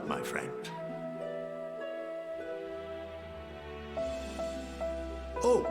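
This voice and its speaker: A man playing an erudite, measured scholar and statesman in a video game, speaking formally, like a lecture, with gentle wry humour. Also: medium pitch. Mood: genial, formal. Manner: formal, eloquent, didactic. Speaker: game character, scholar and council member. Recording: game audio with echo, loud music. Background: loud music.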